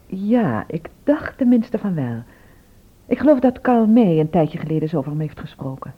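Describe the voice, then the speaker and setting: An actress playing a frank, sharp-tongued, wry woman shopkeeper in a radio drama. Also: lowish voice, very animated, moderate pace, slightly rough. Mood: frank, concerned. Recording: thin phone-like old radio recording.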